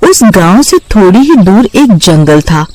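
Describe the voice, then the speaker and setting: A woman narrating a scripted children's horror story in a measured storybook manner. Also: medium pitch, very animated, dark tone, no room sound. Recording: music behind the voice.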